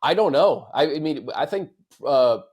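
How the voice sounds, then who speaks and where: A man's voice: fairly high pitch, animated, moderate pace, darkish tone, breathy edge. An American man hosting a sports podcast in casual, conversational talk, often repeating words as he thinks aloud. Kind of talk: casual sports talk, fielding fan questions.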